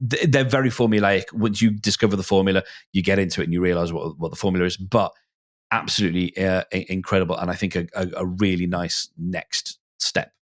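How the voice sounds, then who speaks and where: A man speaking as a podcast guest in a reflective discussion, haltingly thinking aloud and circling back over his phrases. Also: low voice, flat delivery.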